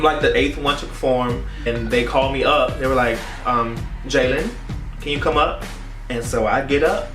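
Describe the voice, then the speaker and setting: A young American man telling a story in casual vlog chat, animated and acting out the dialogue as he goes. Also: medium pitch. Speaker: vlogger. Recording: roomy echo, distant mic, faint music.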